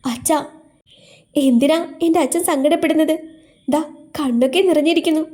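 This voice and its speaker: A woman's voice: sits high, normal speed, dark tone, pitched above the performer's own voice, natural voice. A woman voicing a loving, headstrong young adult daughter who talks in an earnest, emotional way. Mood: worried.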